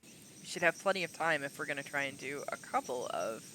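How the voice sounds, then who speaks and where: A man's voice: on the high side, a little fast, a little hiss or hum, low-quality recording. A man streaming a video game, talking casually through his play, a little sniffly and congested. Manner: relaxed, muttering to himself while playing. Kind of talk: casual let's-play commentary. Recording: game music playing underneath. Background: game music.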